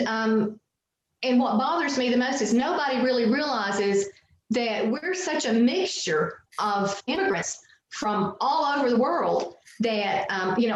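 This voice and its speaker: A middle-aged woman from Southwest Virginia with a strong Appalachian twang, telling folksy stories as an interview guest. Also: a little high. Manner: folksy, chatty, laughs easily, many fillers. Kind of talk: casual storytelling interview. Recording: very echoey room with faint noise.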